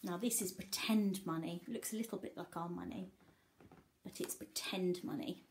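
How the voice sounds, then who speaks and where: A woman reading a picture book aloud to young children, expressive, pausing to explain and ask simple questions like a teacher. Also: fairly low pitch, slight background noise.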